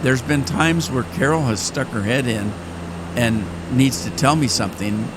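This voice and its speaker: An older man talking casually while playing a game, rambling with pauses and restarts. He says his voice has grown deeper and cracks more with age. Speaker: gaming channel host. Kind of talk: casual gameplay commentary. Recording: engine noise behind the voice. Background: flight simulator engine noise.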